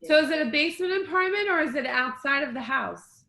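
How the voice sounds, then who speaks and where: A woman from Israel asks questions on a call in a bright, fairly high voice, pausing and restarting as she feels her way through each one. Her voice rings in an echoey room. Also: lively intonation, gravelly. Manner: hesitant, lots of fillers and restarts. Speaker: interviewer. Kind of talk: casual video call chat.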